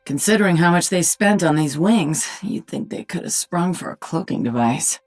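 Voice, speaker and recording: A sardonic psionic warrior queen from a video game. Her voice is low and husky, with a dry, commanding manner. Music plays behind her. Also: lively intonation, moderate pace, darkish tone. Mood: dry, wry. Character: sardonic psionic alien-hybrid warrior queen, adult woman. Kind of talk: video game character voice lines.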